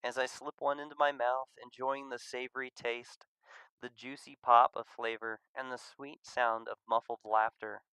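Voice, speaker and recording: A man reading a visual novel aloud as expressive scripted narration. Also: medium pitch, moderate pace, clear, resonant tone, no echo, low-quality recording.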